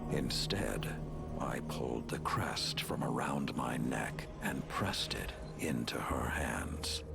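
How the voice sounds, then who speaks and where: An actor playing a grave old warlord in a game cinematic, with a gravelly, breathy voice and a solemn, deliberate delivery. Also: male voice, rumbling low, exaggerated voice. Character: grave old battle-worn warlord recounting hard choices. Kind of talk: dramatic cinematic monologue. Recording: loud music under the voice. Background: cinematic music.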